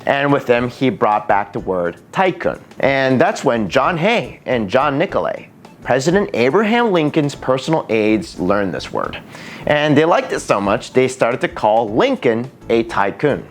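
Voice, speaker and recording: Lively bilingual young man explaining words. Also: medium pitch, measured pace, slight background noise. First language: bilingual in Japanese and English. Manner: lively, animated delivery with playful asides. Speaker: language educator and video host. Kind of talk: scripted educational explainer.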